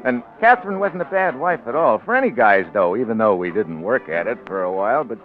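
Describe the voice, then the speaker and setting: Actor as gruff, lively American politician, tinny old radio. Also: male voice, mid-range voice, fairly quick, faint music behind, natural voice. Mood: wry narrating. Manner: colloquial, gruff, hesitant. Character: rough, plain-spoken crooked politician, awkward bashful husband. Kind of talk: 1940s radio drama acting.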